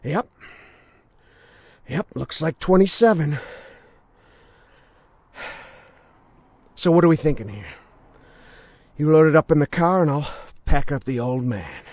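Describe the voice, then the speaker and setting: An unhinged veteran cop with a high-pitched voice that swings wildly. He is mocking and theatrical, bullying like a game villain, moving from soft menace to shouts. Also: animated, normal speed, dry recording. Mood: calm, matter-of-fact. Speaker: voice actor in a video game. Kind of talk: dramatic video game cutscene acting.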